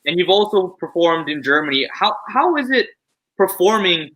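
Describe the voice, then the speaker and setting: A woman interviewer with a bright, forceful voice. She asks questions haltingly, pausing and repeating herself as she looks for the right word. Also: on the low side, lively intonation, low-quality recording. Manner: halting, searching for words. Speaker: interviewer host. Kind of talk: casual interview.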